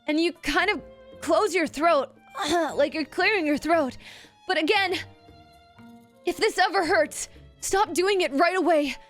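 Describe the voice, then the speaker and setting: A woman's voice: very high-pitched, a little slow, long pauses, a bit bright, faint music behind. A young woman streamer, giving a chatty, giggly voice lesson to her chat and swearing casually. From Canada.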